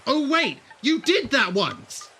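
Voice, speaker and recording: Audio drama lead: male actor as defiant alien leader, sarcastic and commanding, bright delivery with big swings in pitch. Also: huge pitch swings, slow pace, a little hiss or hum, full character voice. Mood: scornful, angry. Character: defiant, scornful alien leader who takes charge. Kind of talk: fan audio drama acting.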